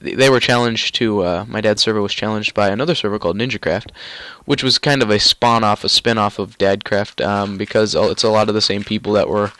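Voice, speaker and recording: Young male gaming host, nasal from a cold, low voice, dry close mic, meandering casual commentary. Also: a bit bright, no room sound. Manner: rambling with many ums and restarts. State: stuffy nose from a cold. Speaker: gaming YouTuber.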